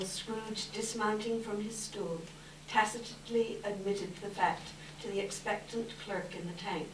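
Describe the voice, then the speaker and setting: Heard from a distance in an echoing room, a woman narrates a story from a script. Her voice has a medium pitch, with faint hiss around it. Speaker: volunteer reader, narrator. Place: live indoor readers theater event.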